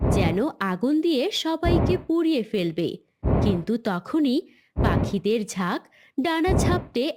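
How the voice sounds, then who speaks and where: A woman's voice: on the high side, noisy background. A woman reading dramatic scripted narration for a cartoon story.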